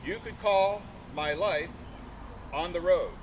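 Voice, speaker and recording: A man giving a live literary reading outdoors, declaiming in short, broken phrases. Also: slow. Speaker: artist reading aloud and taking questions. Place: outdoors in a sculpture park. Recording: noisy outdoor recording with vehicle rumble.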